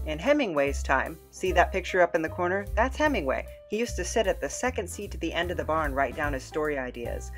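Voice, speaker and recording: A woman in her thirties presents in a chatty, playful manner, with a theatrical streak of enthusiasm. Her voice sits on the low side, and light music plays behind her. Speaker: video host. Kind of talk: chatty demonstration and travel narration.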